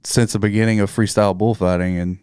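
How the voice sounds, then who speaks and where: A relaxed man in his thirties hosts a casual podcast, his voice smooth and fairly low. He mostly answers with short agreeing words and laughs easily. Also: quick pace, no room sound, studio quality. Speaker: podcast host.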